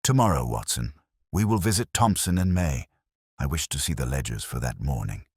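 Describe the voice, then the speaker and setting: A man performs a commanding Victorian detective in an audiobook mystery. The voice is extremely deep yet moves expressively, recorded close and dry. The phrasing is deliberate, exact and coolly analytical. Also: animated, slightly rough, no echo, exaggerated voice. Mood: grave, analytical. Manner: precise, deliberate, deductive.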